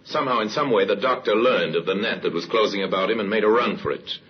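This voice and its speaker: A man acting as the solemn narrator of an old radio crime drama, grave and measured in his storytelling. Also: fairly low pitch, lightly acted. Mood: grave, suspenseful. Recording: muffled old radio recording with hiss.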